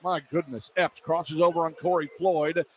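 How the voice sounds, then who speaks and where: High-pitched man calling basketball, noisy feed. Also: steady tone, moderate pace. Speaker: play-by-play radio announcer. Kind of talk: live basketball play-by-play commentary. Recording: poor radio feed, clear background noise.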